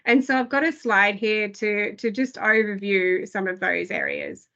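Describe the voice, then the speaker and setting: A woman giving an online product briefing, slightly hoarse and full of hesitant fillers. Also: medium pitch, very animated, a little slow, bright, forceful tone, dull audio. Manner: filler-heavy, frequent "I guess" and "um". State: losing her voice. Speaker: product presenter.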